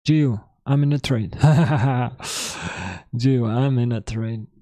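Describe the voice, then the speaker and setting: A man with a sore, hoarse throat hosting a live trading stream, thinking aloud in halting, self-correcting commentary. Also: medium pitch, warm tone, dry recording, clean studio sound. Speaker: trading livestream host.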